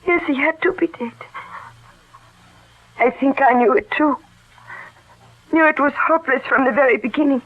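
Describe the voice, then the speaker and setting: An actress playing an earnest, loyal young daughter in a wartime radio drama, speaking in formal, careful phrasing. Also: female voice, sits high, moderate pace, pauses often, close to an everyday voice. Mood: grief-stricken. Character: grieving, loyal young daughter named Mia in war drama. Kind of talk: old radio drama acting. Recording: tinny, band-limited old radio recording.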